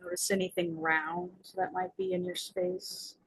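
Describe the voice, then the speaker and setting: A woman leading a guided meditation as its guide, speaking in gentle, soothing invitations with long pauses. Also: lowish voice, flat delivery, slightly rough, poor audio.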